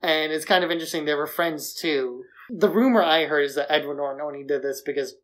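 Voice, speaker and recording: A man's voice: a little high, fairly even intonation, quick pace, a bit bright, poor audio. A man co-hosting a podcast, talking casually about films. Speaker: podcast co-host. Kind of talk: casual film discussion.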